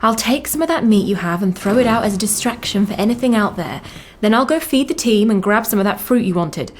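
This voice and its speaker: A woman's voice: mid-range voice, animated, fairly quick, lightly acted. A woman acting in an audio drama as a practical, sceptical traveller, questioning and firm yet reassuring.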